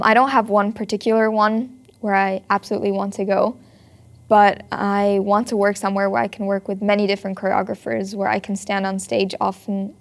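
A teenage girl from Switzerland talks earnestly about dancing, speaking in a narrow, even pitch. She pauses often with small fillers as she thinks. She sits for an interview in a bright ballet studio. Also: vocal fry. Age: teens. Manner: thoughtful, earnest, with frequent ums. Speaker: ballet student. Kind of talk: documentary interview.

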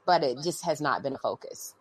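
A calm woman with a very low voice answers interview questions in an unhurried way. The recording is dry and close to the mic. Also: slightly rough, no echo. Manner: calm, measured, soft-spoken. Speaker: guest. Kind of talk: casual interview talk.

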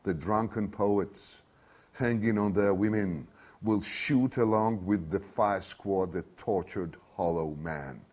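A man in his fifties reads from a novel in a fairly low voice. His pace is very slow and measured, with deliberate pauses. The delivery is dramatic and declamatory, like an author performing literary prose. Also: darkish tone. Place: small bare studio room, seated at a desk.

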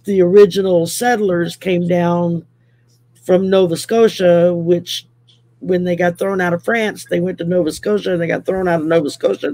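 A woman's voice: on the low side, gravelly, a little mellow. A woman in her sixties from Louisiana chatting casually on a video call from home, rambling with lots of fillers. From Louisiana, USA. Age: 60s. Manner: rambling, many fillers, laughs often. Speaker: guest. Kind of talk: casual chat. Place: home room.

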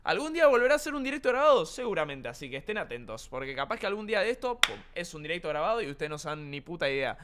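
A man's voice: high voice, very animated, racing, few pauses, background noise. A young man from Argentina with an Argentine accent, streaming from his room and joking around, brash and swearing a lot. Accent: Argentine Spanish. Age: young adult. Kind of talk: casual livestream chat. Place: home streaming room with green screen.

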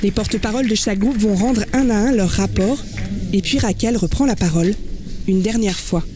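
A woman's voice: medium pitch, a little fast, noisy background. A woman with a French accent reading scripted radio documentary narration as a reporter. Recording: music behind the voice. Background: music.

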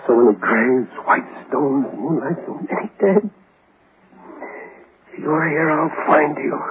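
An actor playing a vengeful, half-mad man in a melodramatic old radio horror drama, halting and repeating himself. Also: mid-range voice, natural voice. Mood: desperate searching. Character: vengeful, half-mad man hunting his enemy among graves. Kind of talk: 1940s radio horror acting. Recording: noisy, muffled old radio recording.

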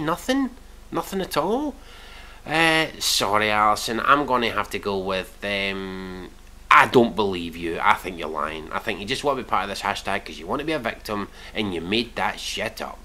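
A man's voice: on the low side, bright, forceful tone. A man, commenting on posts he reads out in a scornful, mocking way with plenty of swearing, recorded indoors in a small room on a webcam. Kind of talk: reaction commentary reading posts.

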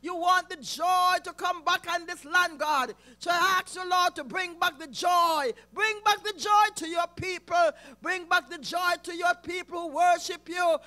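A man leading an impassioned intercessory prayer, calling out to God again and again, often close to shouting. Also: very high voice. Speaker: prayer leader.

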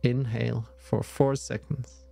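A man leading a guided yoga nidra meditation in a soothing, measured way with long pauses. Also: mid-range voice, little pitch movement, vocal fry, mellow, soft background music.

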